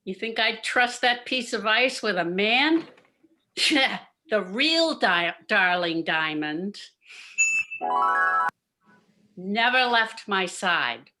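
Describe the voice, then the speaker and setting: A woman's voice: medium pitch, animated, slow, sharp tone, a little hiss or hum. A woman playing a scheming, gloating nightclub boss in a campy noir radio play. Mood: sly, gloating. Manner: theatrical, gloating. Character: scheming brassy female nightclub boss Sal, noir gang leader.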